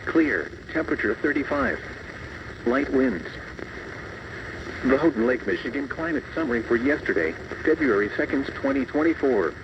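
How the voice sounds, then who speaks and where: A man's voice: mid-range voice, far from the mic. A synthetic male announcer voice reading a scripted weather bulletin in an impersonal way, in short clipped phrases. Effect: synthetic text-to-speech voice. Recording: muffled, noisy radio reception.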